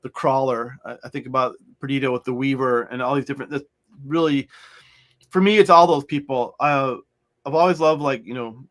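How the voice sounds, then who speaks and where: A man's voice: medium pitch, fairly even intonation, fairly quick, a bit bright. A middle-aged man, an author, talking in a loose, rambling way with many restarts as an interview guest. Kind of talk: conversational interview.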